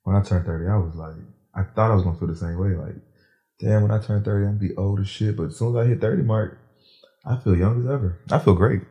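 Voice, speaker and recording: A man in his thirties hosts a podcast in a laid-back, slangy way and asks his guest blunt questions. His voice sits a little low with a mellow, dark tone. He talks quickly. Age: 30s. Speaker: podcast host. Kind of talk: casual interview chat.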